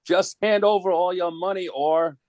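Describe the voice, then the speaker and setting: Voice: mid-range voice, steady tone, moderate pace, a little mellow, no echo, clean studio sound, natural voice. An adult performer playing a cheeky, slangy young boy in a dramatic comic-book table read. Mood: robotic menace. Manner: slangy, wide-eyed, childlike. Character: cheeky, excitable young boy, hypnotized into robbery.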